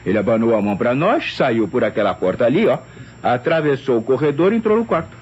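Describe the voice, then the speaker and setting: A man's voice: mid-range voice, quick pace, husky. An actor playing a flustered, deferential private secretary, hesitant and stammering as he testifies in an old radio mystery. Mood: flustered recounting. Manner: hesitant, stammering, tag questions, animated storytelling. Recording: dull, noisy old radio drama recording.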